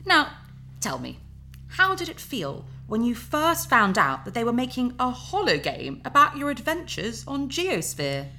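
A woman playing a smug, manipulative, warmongering talk-show host in a sci-fi audio drama, with pompous, emphatic broadcast patter. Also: a little high, wildly swooping pitch, measured pace, soft background music, full character voice. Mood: smooth, leading.

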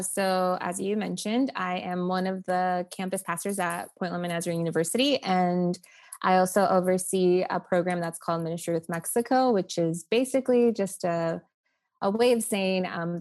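A woman's voice: lowish voice, darkish tone. A young woman from Oklahoma City, a campus pastor, talking earnestly and reflectively as a podcast guest, with many "like" and "um" fillers. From Oklahoma City, USA.

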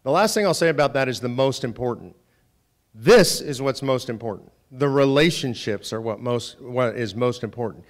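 A man with a naturally loud voice speaks to a room of supporters and legislators. His delivery is conversational yet emphatic, sitting at an ordinary middle pitch. He keeps checking in with the crowd through rhetorical questions. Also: lively intonation, normal speed. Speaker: organization president speaking to volunteers. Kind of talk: speech with audience questions. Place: meeting room with a live audience.

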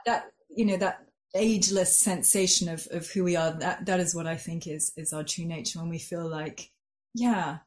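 A woman with a fairly low voice answers interview questions over a video call. She reflects as she speaks, restarting phrases and filling pauses with "um." She grew up in Switzerland and now works as a coach. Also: fairly even intonation, a little mellow. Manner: thoughtful, many restarts and "um"s. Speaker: life coach and part-time lawyer.

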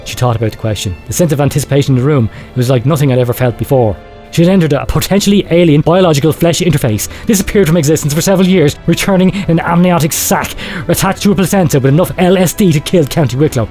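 A man's voice: on the high side, quick pace, hardly any pauses, darkish tone, faint music behind. A man reading a listener's story aloud as a radio host, with a theatrical delivery that swells for emphasis.

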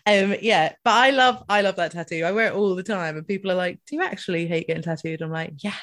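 A woman's voice: lowish voice, fast talker, fairly bright tone. Scottish woman cohosting a podcast, jokey and chatty. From Scotland. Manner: joking, laughs often. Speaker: podcast co-host. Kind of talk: casual podcast chat.

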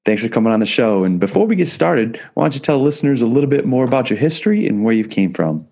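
A man's voice: medium pitch, sing-song, quick pace, a little mellow. A man hosting an interview show, reading out a guest introduction and asking questions in an upbeat way. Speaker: podcast host. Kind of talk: reading an introduction, then chatting.

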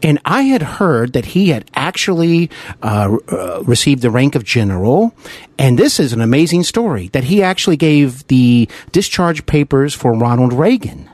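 American man interviewing, lively intonation. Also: mid-range voice, moderate pace, no echo. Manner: admiring, earnest. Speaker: interview host. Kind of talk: conversational radio-style interview.

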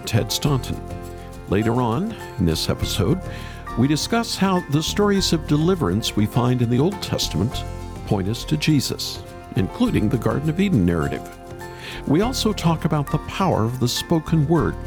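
A man's voice: on the high side, huge pitch swings, moderate pace, music in the background. A man hosting a faith podcast, delivering introductions and interview questions in a radio style.

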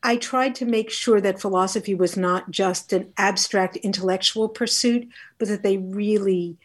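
A woman speaking as an interview guest who teaches philosophy, telling reflective stories in a measured, deliberate and articulate way. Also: mid-range voice, steady tone. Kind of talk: reflective interview conversation, storytelling.

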